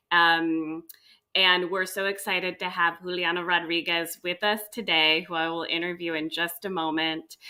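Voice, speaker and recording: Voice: mid-range voice, sharp tone. An interview host opening a live online session on a video call, upbeat and enthusiastic with the odd um. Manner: enthusiastic, upbeat, occasional ums.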